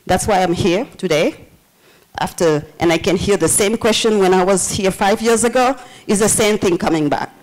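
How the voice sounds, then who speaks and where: A woman's voice: mid-range voice, normal speed, poor audio. A woman in her forties from Senegal, with a Senegalese accent, speaking passionately during public comment at a community meeting. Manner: passionate, animated, laughs often.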